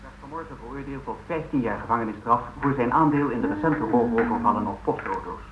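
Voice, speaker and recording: An actor playing a brisk, formal male newsreader giving a scripted bulletin in a radio drama. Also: medium pitch, a crowd in the background, natural voice. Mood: formal, factual. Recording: muffled, noisy, echoey old radio.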